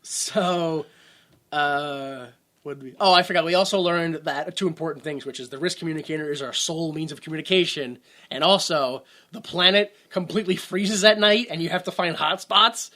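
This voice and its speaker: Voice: medium pitch, moderate pace, bright, forceful tone. A podcast co-host in casual movie-review chat, rambling and sarcastic, often breaking off mid-sentence. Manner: rambling, self-interrupting, sarcastic.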